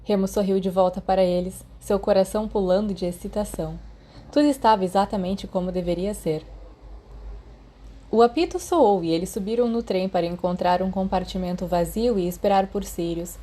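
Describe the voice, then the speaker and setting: A woman's voice: mid-range voice, fairly quick, dark tone. A woman with a Brazilian Portuguese accent reading a story aloud as a scripted audiobook narration. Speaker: podfic narrator.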